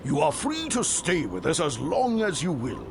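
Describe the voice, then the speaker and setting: A hospitable mountain dwarf from a fantasy game. His creaky voice swoops widely in a stately welcome, with clear noise behind it. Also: on the high side, huge pitch swings, moderate pace, exaggerated voice. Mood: welcoming. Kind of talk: video game cutscene acting.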